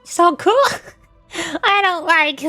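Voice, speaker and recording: A young woman with a high voice comments casually on a game as a VTuber streamer. She is playful and teasing, rambling as she goes. The sound is close and dry, with music underneath. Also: drawn-out words, pushed and bright, dry recording.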